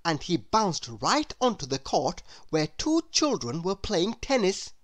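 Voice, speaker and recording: Very animated, slow-paced woman reading a storybook. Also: fairly low pitch. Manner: expressive, deliberate. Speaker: storybook reader. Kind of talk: scripted children's story narration.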